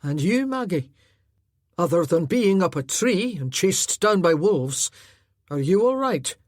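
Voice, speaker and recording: Soft-spoken sad highland guy, woman doing his voice, kindly. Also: very animated, measured pace, dry recording, studio quality. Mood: gentle. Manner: gentle and warm. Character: gentle, grieving Highland man.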